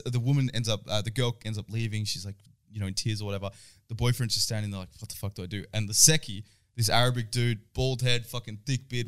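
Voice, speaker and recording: A man's voice: medium pitch, a bit bright, clean tone. A young man in his twenties, casually telling a swear-filled story with a laugh now and then. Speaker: podcast co-host. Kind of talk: casual chat.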